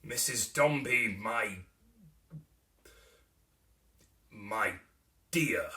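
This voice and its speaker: A man voicing a stern, pompous middle-aged businessman and proud father in a dramatic reading of a Victorian novel, speaking slowly and grandly. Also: on the low side, lively intonation, sharp tone, reverberant, distant mic, full character voice. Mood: hesitant tenderness. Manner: pompous, grandiose, slow and declamatory.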